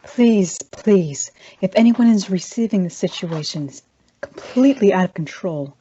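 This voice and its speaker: A woman's voice: mid-range voice, animated, moderate pace, dark tone, lo-fi sound, a little hiss or hum, natural voice. A woman playing a panicked woman pleading for help in an amateur table read of a horror film script.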